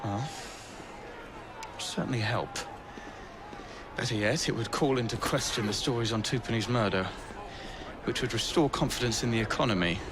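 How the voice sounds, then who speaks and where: An actor playing a weary, sardonic adviser in a video game, speaking deliberately. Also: male voice, mid-range voice, close to an everyday voice. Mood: dry, hopeful. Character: exasperated, wry male adviser lamenting disaster. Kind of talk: dramatic video game acting. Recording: noisy urban outdoor background.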